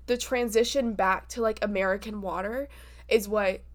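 A woman's voice: medium pitch, steady tone, normal speed. A young woman co-hosting a podcast, chatting in a bubbly way and laughing easily while congested and sniffly. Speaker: podcast co-host. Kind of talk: casual chat.

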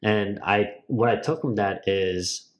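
A young man co-hosting a podcast, chatting casually, rambling and excitable with many restarts. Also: lowish voice, slow pace. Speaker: podcast co-host. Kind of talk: casual chat.

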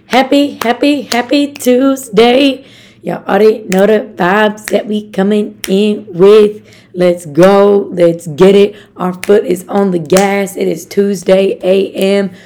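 A young woman from Arkansas with a raspy voice, hosting a casual motivational podcast in an upbeat, chatty way and talking straight to her listeners. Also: medium pitch, steady tone, slow pace, dark tone, slight background noise. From Arkansas, USA. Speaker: podcast host.

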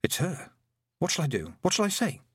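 A man's voice: a little high, wildly swooping pitch, fairly quick, husky, dry recording, clean studio sound, pitched above the performer's own voice, heavily acted. A man voicing a practical, determined young girl heroine, earnest and firm, in a storybook reading. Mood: nervous.

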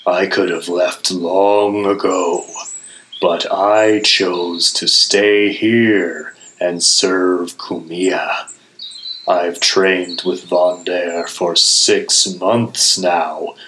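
A man voicing a proud stone golem, slow and grave in his speech. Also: low voice, level tone, a bit bright, a little hiss or hum, heavily acted, rough recording. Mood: solemn.

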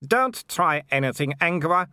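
A man's voice: on the high side, expressive, measured pace, bright, forceful tone, smooth, no echo, studio quality, voice pushed up, exaggerated voice. A man voicing a gleeful, brutish male werewolf who taunts his foes in a raised, strained voice. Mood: taunting.

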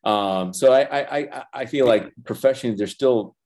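An older man whose first language is Spanish, talking earnestly in a casual interview, halting with many restarts and fillers. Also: medium pitch, darkish tone. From Los Angeles. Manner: earnest, reflective, faith-centered. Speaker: animation professor and former feature animator, guest.

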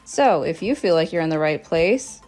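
A woman in her twenties from Canada, hosting her own podcast and talking about her life in a friendly, earnest way, with fillers and the odd soft laugh. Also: low-pitched, animated, moderate pace, faint music behind. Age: 20s. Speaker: podcast host. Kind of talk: casual solo podcast talk.

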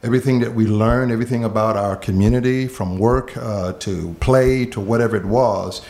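Earnest halting male pastor. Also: mid-range voice, fairly even intonation, darkish tone. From Miami, Florida. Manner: halting, many fillers and repeated words. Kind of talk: reflective personal storytelling in conversation.

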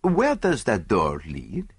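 Narrator as an unhurried Belgian sleuth, French-accented, husky. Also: male voice, on the low side, no room sound, studio quality, heavily acted. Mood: calm. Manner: calm, unhurried, precise. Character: calm fussy Belgian male detective.

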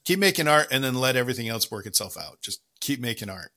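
A man's voice: mid-range voice, sing-song, quick pace, sharp tone. A man hosting a casual interview, asking loose questions and encouraging his guest. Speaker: podcast host.

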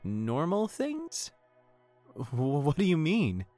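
Earnest, lively young guy, lovestruck. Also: a little high, slow pace, no echo, faint music behind, exaggerated voice. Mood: easygoing. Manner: hesitant, earnest. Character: carefree young man, earnest, lovestruck, a little awkward. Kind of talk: naturalistic audio drama acting.

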